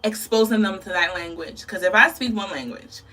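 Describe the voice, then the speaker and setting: A young woman from Ghana talks casually to her camera, thoughtful and laughing often. Her voice is bright and forceful, and she sits a little back from the mic. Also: medium pitch, moderate pace, far from the mic. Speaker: beauty vlogger.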